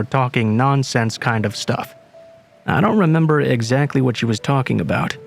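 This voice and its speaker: A man reading a true horror story aloud in steady, measured scripted narration. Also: mid-range voice. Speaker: narrator reading submitted stories. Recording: faint music underneath.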